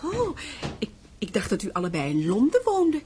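A woman playing an impulsive, cheeky teenage daughter in a radio drama, talking in an excitable, pert way. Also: medium pitch, normal speed. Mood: excited. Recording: noisy old radio play recording.

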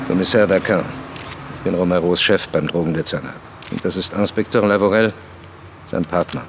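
Deep, terse police chief. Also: male voice, a little fast, noisy background. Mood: terse, sober. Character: terse, commanding male police chief of a drug squad. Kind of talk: German crime radio drama acting. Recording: music behind the voice.

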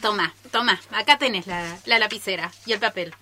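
A woman's voice: medium pitch, a little fast, very noisy, close to an everyday voice. A woman with an Argentine accent acting a frank, curious, teasing friend in amateur radio theatre.

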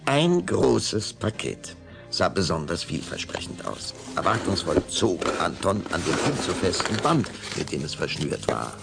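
A man narrating a scripted story dramatically, with measured phrasing and suspenseful pauses. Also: sits low, very animated, vocal fry, over loud music.

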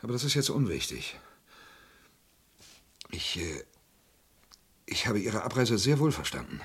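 An actor playing an intense, lovesick murderer in a radio play, impassioned, moving between hushed and outraged delivery. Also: male voice, lowish voice, very animated, measured pace, slightly rough, heavily acted. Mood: subdued, tender. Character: intense, wounded, lovesick man who confesses to murders. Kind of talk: dramatic radio play acting.

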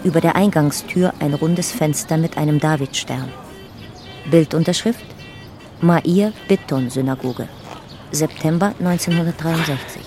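A woman narrator reading scripted descriptions in a sober, measured, matter-of-fact documentary manner. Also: low voice, over music.

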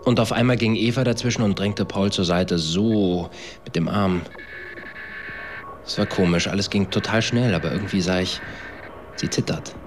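Actor as troubled male narrator, low pitch, halting colloquial recollection, radio drama, music underneath. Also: lightly acted. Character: troubled male swarm organizer recounting events.

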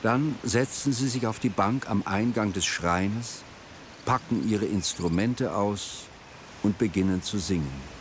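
A man's voice: lowish voice, unhurried, background noise. A man reading scripted narration for a radio drama.

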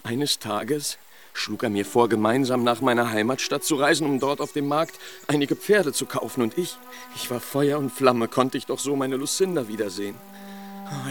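Man playing a lovesick young nobleman, mournful, impassioned, middle-pitched, radio play, faint music underneath. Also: close to an everyday voice. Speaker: character telling his own story.

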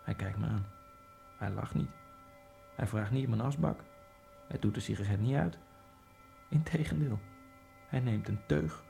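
A nervous, ironic immigrant guy, gravelly warm voice, quiet radio monologue. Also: lowish voice, moderate pace, long pauses, faint music behind. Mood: brooding. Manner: hushed, brooding inner monologue. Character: Egyptian immigrant man, nervous and wryly ironic. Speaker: narrator. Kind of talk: first-person radio drama monologue.

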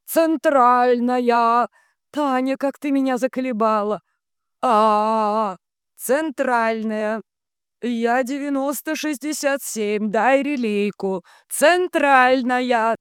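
A storybook psychic woman, shrill-pitched, loud, anguished, moaning, grumbling, drawn-out calls. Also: animated, dry recording, studio quality. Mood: furious bellowing. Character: hysterical, moaning, grumbling heavy female psychic medium.

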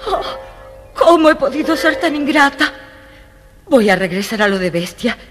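An actress playing a kind, devoted young heroine in a children's audio storybook, earnest and theatrical. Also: female voice, high voice, very animated, moderate pace, music in the background. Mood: anguished, loving.

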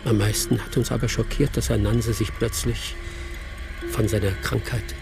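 An actor playing a village hunter who tells his story gravely and in measured phrases, in a radio play. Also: on the low side, little pitch movement. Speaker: narrator. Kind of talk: dramatic first-person radio play narration. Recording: music playing behind the voice.

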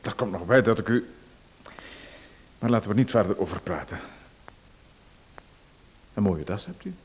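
A man's voice: lowish voice, normal speed, breathy edge. An actor in a radio play voicing a pushy, ingratiating man who presses his help on someone and hints at danger. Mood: insinuating. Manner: ingratiating, insistent, with a veiled threat.

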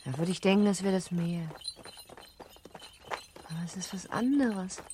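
An actress in a German radio drama playing a dreamy, wistful young wife in a musing manner. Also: female voice, lowish voice, measured pace, warm tone, close to an everyday voice. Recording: clear horse-drawn sleigh noise. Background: horse hooves and sleigh ride.

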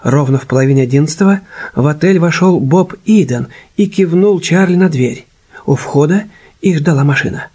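A man's voice: a little high, normal speed, warm tone, husky. A man narrating a scripted radio drama as storyteller.

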